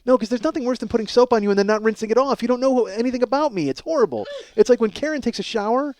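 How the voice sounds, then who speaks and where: A man's voice: very high-pitched, animated, racing, darkish tone, a little hiss or hum. A man hosting a comedy podcast in a rambling, self-mocking monologue, ranting with profane exasperation.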